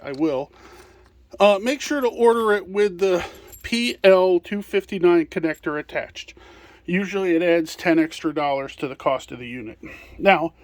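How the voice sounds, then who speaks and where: A man with a fairly high voice walks through products as a presenter. His delivery is informal and step-by-step, with some hesitation. The sound is dry, with very little room in it. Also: no room sound. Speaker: product presenter and small business owner.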